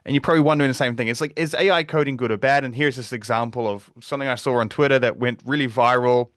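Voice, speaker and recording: A man's voice: medium pitch, dry recording, clean studio sound. Chatty New Zealand man presenting a webinar. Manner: relaxed, conversational, frequent fillers and restarts. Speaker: webinar presenter, security advocate.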